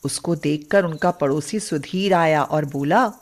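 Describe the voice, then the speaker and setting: A woman's voice: low-pitched, measured pace, darkish tone. A woman reading scripted narration for moral bedtime stories as the storyteller.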